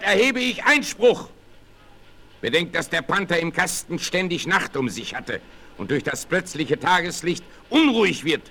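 An actor playing a bold, principled frontier hunter with a firm, authoritative manner in a 1960s radio play. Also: male voice, a little high, bright, forceful tone, heavily acted. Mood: stern warning. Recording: vintage vinyl radio play, faint noise.

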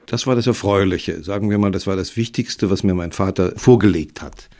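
Older male writer in conversation, deep voice, thinking aloud as he reminisces. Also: fairly quick, darkish tone. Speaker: writer recalling his youth. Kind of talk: reflective spoken recollection.

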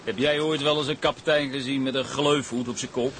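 A man's voice: medium pitch, steady tone, a little fast, fairly bright tone, a little hiss or hum, natural voice. An actor playing a wry, mocking seaman in a drama, homely and unimpressed. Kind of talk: dramatic dialogue.

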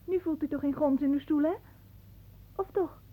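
An actress playing a gentle, inquisitive young niece in a radio play, speaking with innocent attentiveness. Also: female voice, high-pitched, normal speed, phone-quality sound, lightly acted. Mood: concerned, soothing.

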